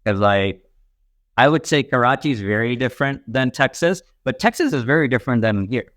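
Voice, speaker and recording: A man's voice: mid-range voice, lively intonation, brisk, fairly bright tone, no echo, clean studio sound. A young man from Pakistan, a stand-up comic guest chatting casually and rambling with lots of fillers. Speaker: podcast guest, stand-up comedian. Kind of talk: casual chat.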